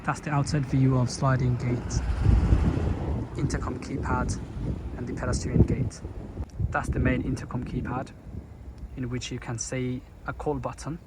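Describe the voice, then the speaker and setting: A man presenting an installation as a product walkthrough, speaking deliberately in short, halting phrases. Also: medium pitch, flat delivery, heavy background noise. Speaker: gate installer presenting his work.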